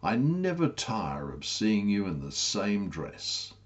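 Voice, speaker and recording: A man's voice: lowish voice, wildly swooping pitch, slow, exaggerated voice. Man doing a fawning, gushing, gossipy tailor. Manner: gushing, obsequious. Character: fawning, nervous, gossipy male tailor who tipples.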